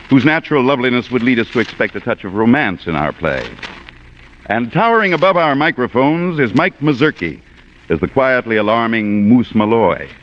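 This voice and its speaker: An American man speaks as a 1940s radio host, formal and scripted, with very lively, swooping intonation. The vintage recording is muffled, with some background noise. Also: medium pitch. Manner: formal, measured, rhetorical. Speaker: guest producer and host of a radio theater.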